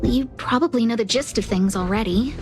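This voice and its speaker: A woman's voice: a little high, brisk, music in the background, natural voice. An actress playing a courteous, earnest young woman in charge of a space station in a video game, speaking in a polite, explanatory way. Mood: earnest, concerned. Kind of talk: video game cutscene voice acting.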